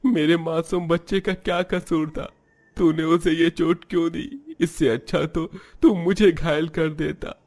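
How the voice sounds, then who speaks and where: A man's voice: fairly high pitch, steady tone, unhurried, a little mellow. A man voicing a poor, guilt-ridden labourer father, earnest and sorrowful, in a Hindi cartoon story. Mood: despairing.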